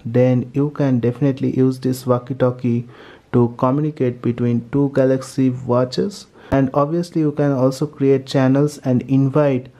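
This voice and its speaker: A man's voice: mid-range voice, little pitch movement, normal speed, dark tone. A man narrating a step-by-step tech tutorial in a methodical, repetitive way.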